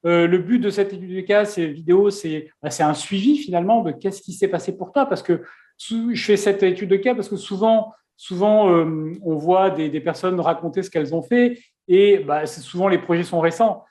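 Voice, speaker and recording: High-voiced chatty man hosting. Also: normal speed, warm tone. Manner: chatty, many fillers and restarts. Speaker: host. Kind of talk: casual interview.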